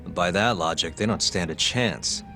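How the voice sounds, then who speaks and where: Wry game detective, thirties. Low, terse, dry; music underneath. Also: male voice, normal speed, creaky, bright, forceful tone, heavily acted. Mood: probing. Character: cool, wry young male detective in leather jacket. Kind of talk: video game cutscene acting.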